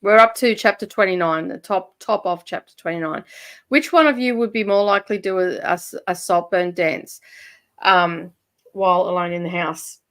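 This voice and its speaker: A woman's voice: on the low side, normal speed, a bit bright. A woman with a Michigan accent, hosting a reading livestream and chatting casually with viewers. She laughs often and uses a lot of fillers. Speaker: livestream host.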